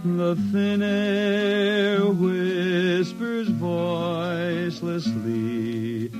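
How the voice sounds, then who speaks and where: A woman delivers a ballad very slowly in a fairly low voice. Prominent guitar music fills the vintage radio recording around her. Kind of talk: slow sung ballad with guitar.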